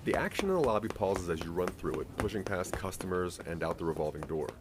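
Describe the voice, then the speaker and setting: A man giving a dramatic, scripted second-person story narration in an expressive storytelling manner. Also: sits low, fast, very noisy.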